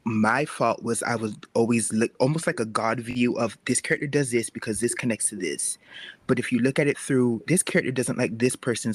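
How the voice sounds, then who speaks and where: A man's voice: mid-range voice, no echo. A man explaining his craft earnestly in a casual panel talk. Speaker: guest webcomic creator. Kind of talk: casual panel talk giving storytelling advice.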